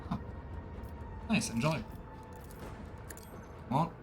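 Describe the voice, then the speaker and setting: A man's voice: fairly high pitch, takes it slowly, over music. A man giving casual let's play commentary as he streams a game, talking to himself and his viewers.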